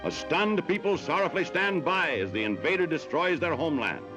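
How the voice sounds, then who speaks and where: A man's voice: a little high, low-quality recording. A man with an American accent narrating a 1940s newsreel in a dramatic, declamatory way, on an old mono film soundtrack. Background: loud orchestral music.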